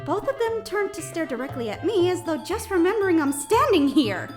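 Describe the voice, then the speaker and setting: A woman's voice: extremely high pitch, sing-song, a little fast. A woman playing an anxious, earnest young female student who narrates a visual novel audio drama in a dramatic, hesitant way. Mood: exasperated, anxious. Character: anxious, exasperated young female student, new club member. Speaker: voice actor narrating and playing the lead. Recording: music behind the voice.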